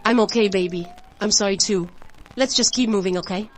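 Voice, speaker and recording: A woman acts as a tender young mother in a horror audio drama. She speaks at a middling pitch, gently reassuring, protective and trying to stay composed. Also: a little fast, close to an everyday voice. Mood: tense, protective.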